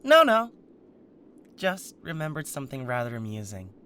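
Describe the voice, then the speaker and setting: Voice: mid-range voice, wildly swooping pitch, moderate pace, fairly bright tone, a little hiss or hum. A performer playing a cocky, protective male king of Hell in an ASMR roleplay, sarcastic and defiant. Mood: smug sarcasm.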